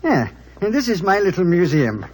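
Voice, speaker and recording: An actor playing a touchy, jealous middle-aged British husband in an old radio drama, with a prickly, sardonic manner. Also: male voice, sits high, big pitch swings, normal speed, slight background noise. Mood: proud, enthusiastic. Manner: prickly, sardonic, clipped asides. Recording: vintage radio recording.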